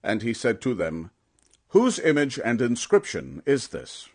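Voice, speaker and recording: A man's voice: medium pitch, wildly swooping pitch, a little slow. A man narrating scripture as a scripted audiobook, in a solemn, emphatic storytelling manner.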